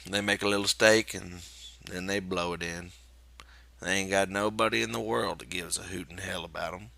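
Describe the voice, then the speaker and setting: A man playing a weary, curt, resigned ranch hand with an American accent and a flat, quiet delivery. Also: sits low, normal speed, gravelly, heavily acted. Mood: monotone reciting.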